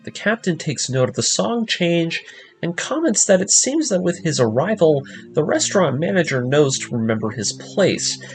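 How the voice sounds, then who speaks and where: A man narrating a scripted story breakdown as an enthusiastic fan host, with expressive, emphatic delivery. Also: fairly high pitch, moderate pace. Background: background music.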